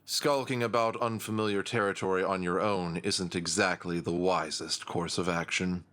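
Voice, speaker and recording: A man playing a dry, haughty rival villain with a formal, deadpan manner in an audio drama. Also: low-pitched, moderate pace. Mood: scornful. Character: dry, haughty male rival antagonist, adult, menacing.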